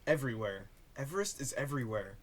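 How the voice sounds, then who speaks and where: Guarded, secretive man with swooping pitch, acted. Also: expressive, fast talker, close to an everyday voice. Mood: resigned. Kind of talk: audio drama dialogue.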